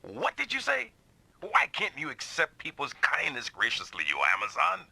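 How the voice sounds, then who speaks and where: A man's voice: on the high side, huge pitch swings, moderate pace, gravelly, sharp tone, slight background noise, exaggerated voice. An actor voicing a big, burly, good-natured but touchy male mech pilot in an anime-style video game, theatrical and blustering. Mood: indignant, angry.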